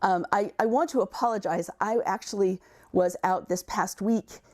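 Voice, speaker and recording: A middle-aged woman with a raspy voice from recent illness, a career coach giving conversational livestream advice and often repeating words as she thinks. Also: mid-range voice, steady tone, normal speed, a little mellow.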